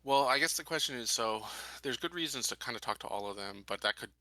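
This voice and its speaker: A man playing a tabletop roleplaying game, talking through his choices casually and haltingly. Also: mid-range voice, expressive, pushed and bright, no echo.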